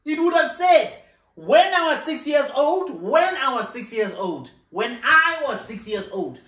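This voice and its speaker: A man's voice: very high voice, very animated, a little slow, a bit bright. A man in his thirties arguing his point insistently on a sofa at home, repeating himself and breaking into laughs, picked up by a camera across the room. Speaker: co-host of a couple's channel. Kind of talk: casual argument on a couch. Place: living room, sitting on a sofa. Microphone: far camera. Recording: echoey living room, camera mic.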